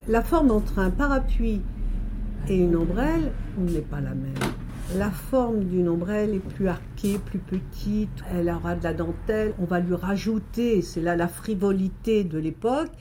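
A woman's voice: mid-range voice, lively intonation, moderate pace, noisy background. A woman giving spontaneous explanations as an interviewed expert.

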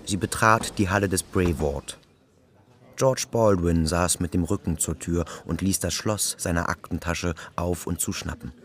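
A man's voice: low-pitched, fairly even intonation, measured pace. A man narrating a radio drama in a scripted literary style. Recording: loud music behind the narration.

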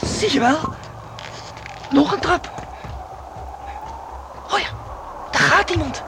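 A man playing an excitable, easily startled boy adventurer in a Dutch radio play, breathless and prone to stammering. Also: extremely high pitch, slow pace, vocal fry, exaggerated voice. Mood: excited chase. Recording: noisy old radio drama recording.

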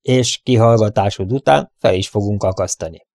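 A domineering bandit boss from a western storybook, played by a male narrator. He is gruff, angry and bullying, pushing his pitch up over a creaky edge. The recording is close and dry. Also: darkish tone, no room sound. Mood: impatient.

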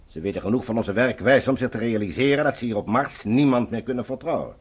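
Male actor as chilly Mars ruler for radio drama: measured commands, medium register, rough-edged husky tone. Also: mid-range voice, natural voice. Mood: calculating. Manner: authoritative, measured, condescending. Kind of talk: science fiction radio drama acting.

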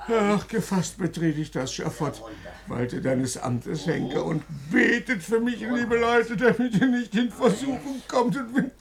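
High-pitched, creaky, theatrical man. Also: a little slow, crowd noise. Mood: tearful, hysterical. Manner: stammering, repetitive, theatrical. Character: excitable, guilt-ridden, pompous male anatomy preparator given to melodrama. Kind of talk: radio drama acting.

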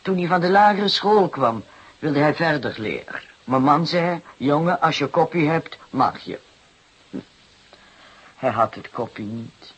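A performer playing a weary, aggrieved working-class mother of seven in a Dutch radio drama, plaintive and hesitant, with a husky, breathy voice. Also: medium pitch, animated, unhurried, poor audio.

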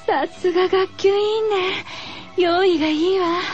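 Horror radio drama: female teacher, played by a woman, very high pitch, startled but composed, dull lo-fi recording. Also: unhurried, over music. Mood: startled, uneasy. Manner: addresses her pupil in feminine, instructive speech.

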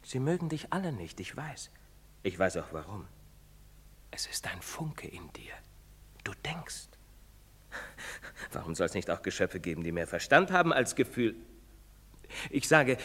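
An actor playing an earnest priest in a stage drama, pleading and moralizing in rhetorical style. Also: male voice, mid-range voice, breathy edge. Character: earnest, preaching priest, later old and guilt-ridden. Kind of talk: theatrical stage drama acting. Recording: reverberant theatre stage recording.

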